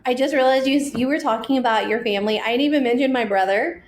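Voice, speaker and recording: A woman's voice: high voice, fast talker, a little hiss or hum. Chatty woman podcaster, echoey room. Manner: chatty, laughs often, self-deprecating. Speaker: podcast co-host. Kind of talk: casual chat.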